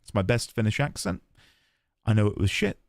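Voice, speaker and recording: Excitable man streaming a game. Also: lowish voice, brisk, creaky. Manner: excitable and chatty, thanks viewers warmly, frequent laughs and restarts. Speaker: streamer playing a video game. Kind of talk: casual live-stream chat and commentary.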